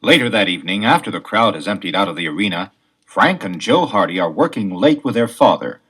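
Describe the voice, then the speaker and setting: A man narrating a children's adventure drama in a scripted storytelling style, with a husky voice. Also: on the low side, animated, fairly quick, fairly bright tone.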